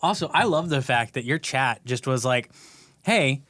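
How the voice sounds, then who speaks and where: Bright-voiced chatty man, dry room. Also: mid-range voice, lively intonation, normal speed, dry recording. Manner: chatty, acts out his own thoughts in quotes. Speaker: podcast co-host. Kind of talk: casual chat.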